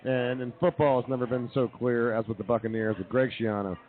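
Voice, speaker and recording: A man's voice: medium pitch, steady tone, fairly quick. Guy hosting casual sports radio banter, sounds thin and phone-like, mid voice. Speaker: radio show host. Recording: tinny, narrow-band phone-like audio.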